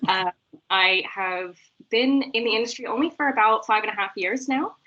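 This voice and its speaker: A woman's voice: on the high side, moderate pace, sharp tone, lo-fi sound. An American woman speaking as a guest on a casual video call. She talks in an easygoing way, with frequent "um"s. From United States. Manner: easygoing, uses "um" often.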